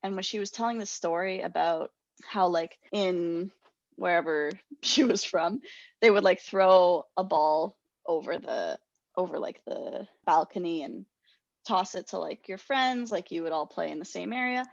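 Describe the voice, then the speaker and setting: A woman's voice: fairly low pitch, a little slow, creaky, no room sound. Young woman podcast co-host, rambling storyteller, self-mocking, dry muffled sound. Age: young adult. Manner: rambling, self-deprecating, laughs a lot. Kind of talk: casual storytelling chat.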